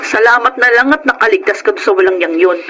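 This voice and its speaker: Worried Filipino mother woman acting, bright and pleading, radio drama, faint noise. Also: medium pitch, fast, close to an everyday voice. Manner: fretful, pleading, emotional. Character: worried, caring mother of a young fish vendor.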